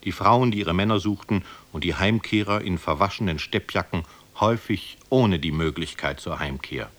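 A man delivers scripted narration for a documentary. His low voice is measured and literary in its phrasing. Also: a bit bright.

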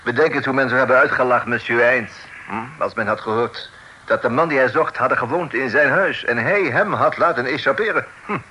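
Guy doing a fussy pompous old-time lawman, super bright piercing voice, french bits, radio play w some hiss. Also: mid-range voice, brisk, close to an everyday voice. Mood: self-satisfied. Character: officious, vain lawman peppering his talk with French. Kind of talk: Dutch radio drama acting. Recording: slightly noisy old radio recording.

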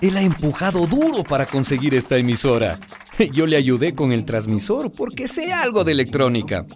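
An actor playing a sympathetic middle-aged neighbourhood man who confides in an expressive, folksy way in a radio drama. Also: a little high, fairly quick, a little hiss or hum. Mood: proud confiding. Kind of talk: radio drama narration in character. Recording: music behind the voice.

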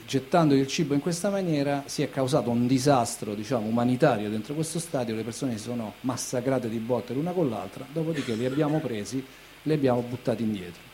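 A man delivers an impassioned speech with emphatic, moral weight. His voice is soft-edged and mellow, set at a middling pitch. Some ambient noise runs faintly under the recording. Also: quick pace. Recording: noisy recording with ambient sound.

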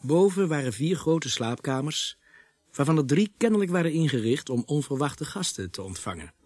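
Husky, dry-recorded police narrator. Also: male voice, a little high, very animated, normal speed, darkish tone, no echo. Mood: measured, descriptive. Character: male policeman narrating his own investigation. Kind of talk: scripted radio drama narration.

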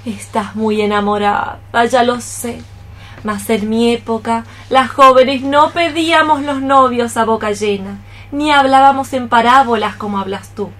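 A woman's voice: a little high, steady tone, a bit bright. An actress playing a scolding, scheming old mother cockroach in a theatrical, exclamatory radio play style. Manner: exclamatory, theatrical, drawn-out phrases. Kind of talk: radio theatre acting in archaic poetic Spanish.

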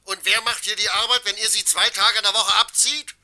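Piercing, high-voiced indignant foreman. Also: steady tone, a little fast. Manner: blunt, protesting, sharp. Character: Rupprecht, indignant, combative works foreman. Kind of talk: German radio play acting.

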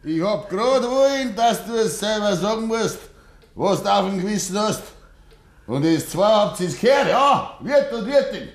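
An actor playing a stubborn, grieving old farmer with a Bavarian accent, deliberate and controlled, in a 1950s dialect radio drama. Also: male voice, high voice, lively intonation. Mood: grave, accusing. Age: older.

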